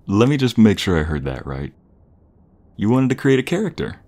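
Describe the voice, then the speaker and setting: Man playing a low-voiced, animated dorky boyfriend. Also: normal speed. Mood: eager, playful. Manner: playful, teasing, enthusiastic, stammering and giggly. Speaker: audio roleplay performer. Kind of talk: intimate boyfriend roleplay, casual improvised-sounding chat.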